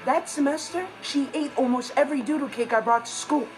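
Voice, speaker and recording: A man's voice: extremely high pitch, fairly quick, low-quality recording. An actor playing an earnest, awkward teenage boy with an American accent, delivering theatrical comedic monologues. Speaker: sitcom lead. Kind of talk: scripted comedic monologue to camera. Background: music.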